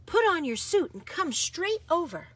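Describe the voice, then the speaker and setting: A woman voicing an eager, excitable young girl in a picture book. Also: extremely high pitch, moderate pace, far from the mic, voice pushed up, heavily acted. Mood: hushed, eager. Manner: eager, breathy whispers and bright cheers.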